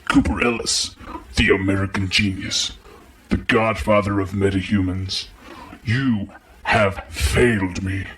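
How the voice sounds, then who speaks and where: An actor playing a coldly imperious, menacing crime-league master in an audio drama, speaking formally and with threat. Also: male voice, sits low, fairly even intonation, unhurried, fairly bright tone, a little hiss or hum, poor audio. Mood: cold contempt. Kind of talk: audio drama villain acting.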